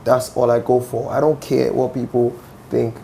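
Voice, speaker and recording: Ghanaian male musician, young, gravelly, insistent interview talk. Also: mid-range voice, level tone, moderate pace, warm tone, slight background noise. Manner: assertive, with frequent "do you understand?" asides. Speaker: musician guest. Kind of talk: interview answers.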